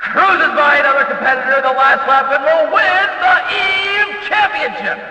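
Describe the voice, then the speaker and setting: A man playing an excitable, cheeky stock car race announcer in a video game, with emphatic, showy delivery. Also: extremely high pitch, normal speed, soft background music, heavily acted. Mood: thrilled. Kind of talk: excited racing commentary. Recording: echoey PA-like hall, distant and dull.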